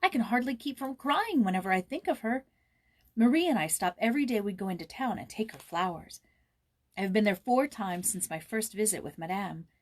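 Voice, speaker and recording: A woman's voice: mid-range voice, expressive, fairly quick, close to an everyday voice. Woman voicing an eager, tender-hearted young girl. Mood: tender, earnest. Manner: impulsive, earnest, gushing.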